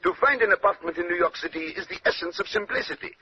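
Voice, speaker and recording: An actor playing a brilliant, self-assured Belgian detective with a French-speaking accent, speaking precisely and courteously in old-time radio drama style. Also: male voice, sits high, huge pitch swings, fast, gravelly, slightly rough, exaggerated voice. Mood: courtly, sly. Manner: precise, lecturing, punctuates points with questioning "eh?". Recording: dull, noisy old radio recording.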